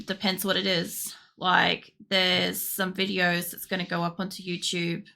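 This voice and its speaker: A tired young woman streamer chatting casually while she draws, with a croaky voice and a rambling way of talking full of fillers. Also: lowish voice, sharp tone. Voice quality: croaky, gravelly. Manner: rambling, with many fillers and restarts. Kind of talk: casual chat during a drawing stream.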